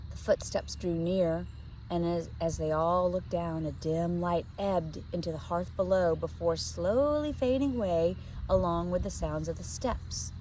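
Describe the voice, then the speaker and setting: A woman narrating a children's story aloud in an expressive read-aloud style, like a teacher. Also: on the low side, a little slow, a little hiss or hum, rough recording.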